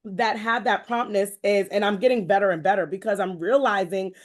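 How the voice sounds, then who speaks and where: An American woman hosting a conversational, faith-based interview, speaking in an effusive, rambling way with expressive asides. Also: mid-range voice, a little fast. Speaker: show host interviewing a guest.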